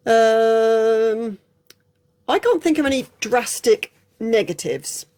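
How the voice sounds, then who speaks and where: A woman's voice: on the high side, lively intonation, slow, a little mellow. An English woman chatting casually through an unscripted film review, frank and prone to digressions. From England. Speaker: film reviewer, channel host.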